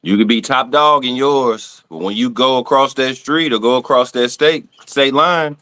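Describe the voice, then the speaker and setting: A man from Texas co-hosting a podcast, talking in a blunt, profane, casual way that gets animated when he is worked up. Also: mid-range voice, normal speed, no echo. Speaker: podcast co-host. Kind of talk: casual chat.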